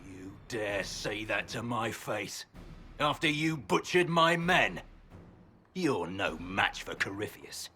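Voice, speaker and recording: An actor playing a bitter, defiant warrior general, a proud and menacing villain in a video game. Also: male voice, fairly low pitch, sing-song, slow pace, bright, forceful tone, full character voice.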